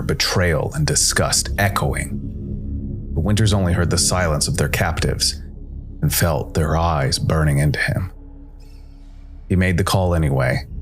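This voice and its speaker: Man, low-pitched voice, dramatic sci-fi storytelling, scripted narration.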